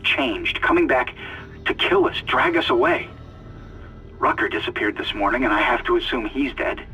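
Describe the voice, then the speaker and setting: An actor playing a shaken, anxious chief engineer on a besieged spaceship, in a dramatic video game audio log. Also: male voice, mid-range voice, expressive, moderate pace, pushed and bright, faint music behind, low-quality recording. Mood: grim, weary.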